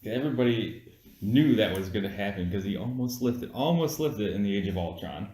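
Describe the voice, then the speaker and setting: A man's voice: on the low side, expressive, a little fast, a little mellow. A tipsy man bantering casually as a review co-host, joking and swearing. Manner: giggly, bantering, profane. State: tipsy from beer. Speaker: podcast co-host reviewing a film. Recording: roomy, echoey home recording.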